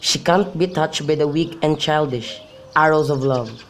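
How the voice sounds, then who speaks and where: A man's voice: on the high side, unhurried, close to an everyday voice. A young man playing a lovesick, brooding youth in an amateur radio drama of modern-English Shakespeare, with birdsong behind him. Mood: pining, despairing. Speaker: student actor. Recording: faint background noise with birdsong.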